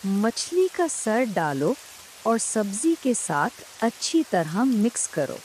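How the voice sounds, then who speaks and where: A woman's voice: fairly high pitch, very animated, slow, a little mellow, poor audio. A woman voicing a proud, caring village mother-in-law and home cook in a cartoon story. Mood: brisk, pleased. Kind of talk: dramatised cartoon story dialogue. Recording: faint hiss with rain sounds.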